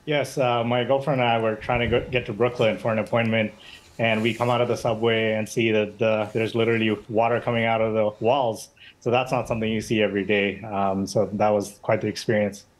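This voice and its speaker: A man's voice: lowish voice, flat delivery, a little fast, low-quality recording. A man from Bangladesh speaking as an eyewitness guest in a live news interview, talking casually with many fillers over a remote link. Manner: casual, conversational, frequent fillers and restarts.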